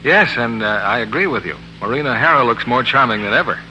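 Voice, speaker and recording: A man delivers a scripted 1940s radio commercial with polished emphasis. His voice is very bright and lively in pitch, ringing in a reverberant hall. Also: fast, a little hiss or hum. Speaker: radio announcer reading soap advertising. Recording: reverberant narrow-band old radio broadcast.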